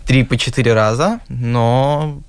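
Teen boy from riga, russian native speaker, self-assured, mid-low voice, dry studio sound. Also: takes it slowly, clean tone, dry recording. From Riga, Latvia. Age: teens. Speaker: guest, schoolboy. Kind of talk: interview answers.

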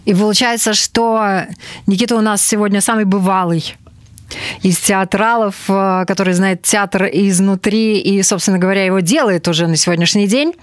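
A woman's voice: mid-range voice, normal speed, dry recording. A woman hosting a radio programme, moderating a conversation with guests in a studio interview style.

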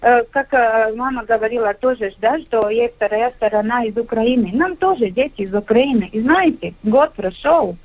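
A middle-aged woman, a kindergarten director, speaking on a radio discussion in a halting way, with many filler sounds. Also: a little high, poor audio. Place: radio studio.

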